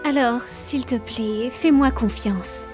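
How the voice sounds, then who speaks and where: A woman's voice: on the high side, takes it slowly, a little mellow, faint music behind. A woman playing a kind, idealistic young princess of hell in a cartoon fan dub, earnest and hesitant. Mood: earnest, pleading.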